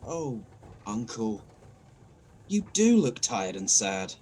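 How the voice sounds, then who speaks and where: Man playing a gushing, animated, cheery nephew. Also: lowish voice, slow pace, a little hiss or hum. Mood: concerned. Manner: gushing, exclamatory. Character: cheerful, naive, excitable nephew of Scrooge. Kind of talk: comic radio play acting.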